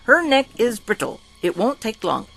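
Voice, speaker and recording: Reader plays stern older father, clipped broken English, commanding, gravelly. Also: medium pitch, sing-song, moderate pace, a bit bright, faint music behind, pitched above the performer's own voice, exaggerated voice. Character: stern father, older man, firm and domineering.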